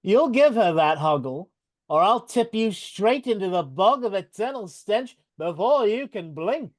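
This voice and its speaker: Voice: mid-range voice, animated, a little slow, no room sound, lightly acted. A performer voicing a cruel, mocking goblin king in a live reading over a video call. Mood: threatening. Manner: taunting, mocking with laughs.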